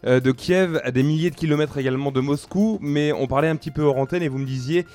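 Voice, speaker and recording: A man's voice: fairly high pitch, fast, a little mellow. A man hosting a radio interview, asking questions with many filler sounds and hesitations. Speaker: radio host.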